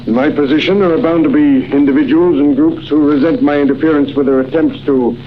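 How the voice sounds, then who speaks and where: A grave, fatherly older commissioner, played by a man in old radio drama style. His voice is fairly high, formal and measured. The recording is muffled, with faint noise. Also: fairly even intonation, natural voice. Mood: grave, candid. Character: grave, fatherly older city commissioner, anxious but dignified. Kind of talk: 1940s radio acting.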